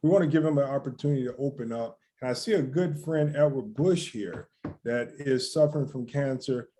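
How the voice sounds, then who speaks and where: Mellow-voiced male talk-show host. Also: medium pitch, a little fast, slight background noise. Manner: interjecting, questioning.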